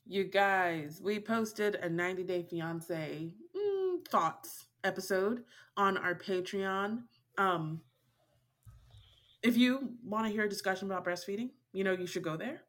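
Animated woman co-hosting a chat podcast. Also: medium pitch, unhurried. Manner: animated, laughs often, plugs Patreon. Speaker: podcast co-host. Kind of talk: casual chat.

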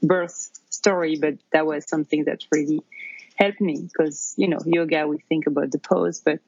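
A woman in her thirties from France with a French accent, telling her story in a casual interview, halting with many fillers. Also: low-pitched, steady tone, darkish tone, husky, no echo, lo-fi sound. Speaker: interview guest.

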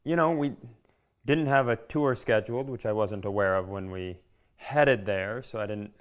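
A man's voice: on the low side, moderate pace. An American man co-hosting a comedy podcast with casual, sarcastic and profane riffs. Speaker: podcast co-host. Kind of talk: casual comic banter.

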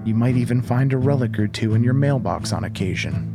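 A man's voice: medium pitch, fairly quick. Man narrating horror over music. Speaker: horror podcast narrator and host. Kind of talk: scripted horror storytelling.

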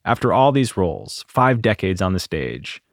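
A man's voice: low voice, sing-song, normal speed, dry recording. A man with an American accent, a podcast host reading a scripted introduction to an interview. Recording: dry studio, faint music behind.

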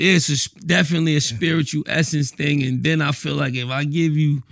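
A man's voice: on the high side, steady tone, brisk, creaky, a bit bright, dry recording, studio quality. A man in casual interview talk, laid-back, often trailing off and repeating words before dropping to a mumble. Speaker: rapper guest.

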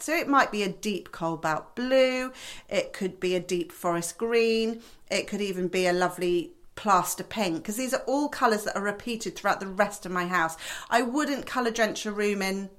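A woman co-hosting a home design podcast, chatting casually and lively. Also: mid-range voice, normal speed. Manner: lively, laughs easily, chips in. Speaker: podcast co-host. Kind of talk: casual chat.

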